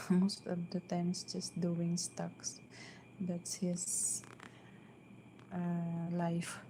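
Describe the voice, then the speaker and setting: Soft-spoken filipina lady chatting on a live stream at home, slow and flat, lots of ums, faint hum behind. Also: lowish voice, poor audio. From Philippines. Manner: hesitant, many fillers and restarts. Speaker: vlogger. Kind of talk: casual live-stream chat. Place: downstairs at home.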